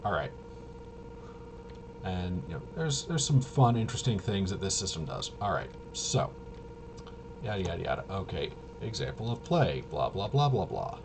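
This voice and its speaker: A man's voice: low-pitched, big pitch swings, normal speed. A man giving a casual, unscripted game walkthrough in a hesitant, rambling way, often trailing off and correcting himself. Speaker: tabletop game reviewer and presenter. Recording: clearly noisy room ambience.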